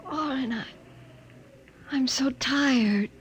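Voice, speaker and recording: A tender, wondering young American wife in a 1950s radio play. Her voice is very high and breathy, with earnest dramatic delivery. Faint noise runs through the old recording. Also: drawn-out words. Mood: weary, fearful. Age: young adult. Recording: noisy 1950 radio transcription.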